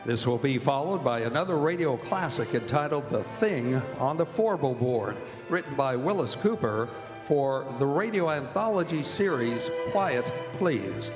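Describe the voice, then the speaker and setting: In a reverberant hall with music behind, a male announcer delivers old-time radio copy. His voice sits at a medium pitch and projects as a stage presentation. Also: expressive, a little slow. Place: performing arts center stage.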